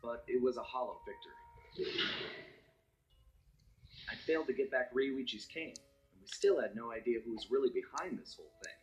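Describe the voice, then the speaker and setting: An actor playing a suave raccoon master thief, narrating game cutscenes in a wry, smooth storytelling manner. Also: male voice, mid-range voice, normal speed, pauses often, lo-fi sound, close to an everyday voice. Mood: determined. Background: background music.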